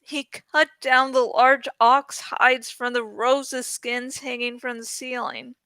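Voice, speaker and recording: A woman's voice: high voice, fairly even intonation, slow pace, sharp tone, clear, resonant tone, dry recording. A woman reading a fantasy novel aloud as an amateur audiobook narrator, casual and given to jokey, self-teasing asides.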